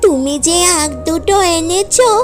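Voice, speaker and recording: A woman's voice: extremely high pitch, a little slow. A woman voicing a sweet, eager little fox daughter in a cartoon story, with a pleading manner. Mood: reassuring. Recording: music under the voice.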